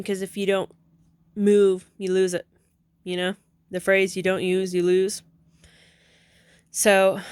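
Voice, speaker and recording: A chatty woman in her thirties, vlogging from home in a loose, rambling way. She drifts between thoughts, corrects herself as she goes and laughs easily. Her voice sits at a middle pitch in a dry, close sound. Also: clean tone, dry recording. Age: 30s. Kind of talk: casual rambling vlog talk.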